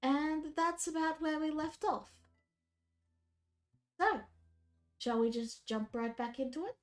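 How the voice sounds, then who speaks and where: A woman narrates a tabletop session in a loose, casual way, her voice a bit stuffy from a cold. She speaks in a somewhat high register. Soft music runs underneath. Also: slow. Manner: casual, relaxed narration with fillers. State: slightly congested from a cold. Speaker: game master.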